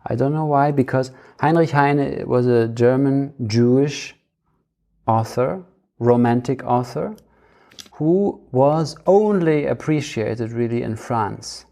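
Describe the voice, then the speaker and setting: Creaky, mellow voice, thinking out loud. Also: medium pitch, takes it slowly. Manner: hesitant, searching for words. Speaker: panel discussion participant. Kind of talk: casual intellectual conversation.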